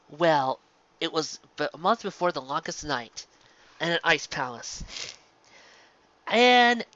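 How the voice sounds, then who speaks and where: A man hosting a fan fiction series, narrating and commenting casually in an enthusiastic, halting, stumbling way. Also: a little high, sharp tone, no echo, muffled recording.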